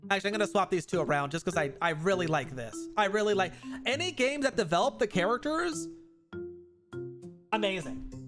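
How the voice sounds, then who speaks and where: A man hosting a livestream, giving casual, chatty gaming commentary that rambles and corrects itself, speaking into a close microphone. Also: sits high. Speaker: livestream host. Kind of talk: casual gaming commentary, reviewing and ranking games. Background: background music.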